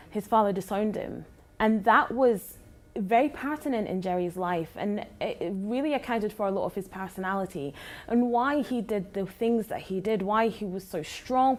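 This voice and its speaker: A woman with a Scottish accent, a journalist and broadcaster, discussing as a guest on a talk program, thinking aloud in short phrases. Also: mid-range voice. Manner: thoughtful, talks in phrases with fillers.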